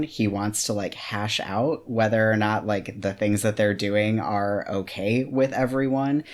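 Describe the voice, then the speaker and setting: A podcast co-host in a casual book discussion, talking in an earnest, opinionated way and saying "like" a lot. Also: mid-range voice. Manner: earnest, opinionated, heavy use of "like".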